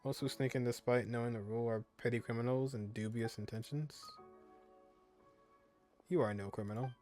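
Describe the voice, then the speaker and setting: A man's voice: mid-range voice, fairly even intonation, moderate pace, darkish tone, faint music behind. Casual man commentating on a game. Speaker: gamer playing and reading aloud.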